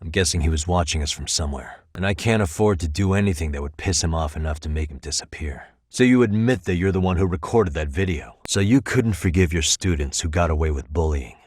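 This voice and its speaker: Deep-voiced, composed American detective. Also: male voice, fairly quick, hardly any pauses, darkish tone, slightly rough, no echo, clean studio sound. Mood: composed, decisive, then stern, accusing. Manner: controlled, pointed, dryly sardonic questioning. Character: male detective, composed, probing, wry and tough. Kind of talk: video game voice acting, dramatic interrogation dialogue.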